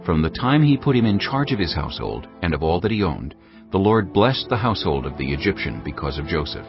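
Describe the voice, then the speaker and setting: A man giving solemn, measured scripted narration for a dramatized audio Bible. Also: low-pitched, very animated. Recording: muffled audio with music underneath. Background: background music.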